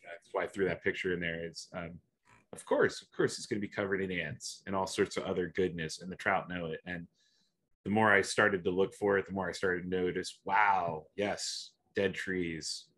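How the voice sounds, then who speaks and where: A man's voice: low-pitched, steady tone, normal speed, a bit bright. A man giving an online slide presentation over a video call, talking hesitantly with many fillers and restarts. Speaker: guest presenter.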